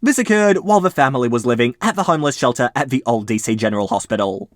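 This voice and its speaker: A man hosting his channel, reading mystery posts aloud and breaking off for casual asides in a lively storytelling way. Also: mid-range voice, fast talker, dry recording, clean studio sound.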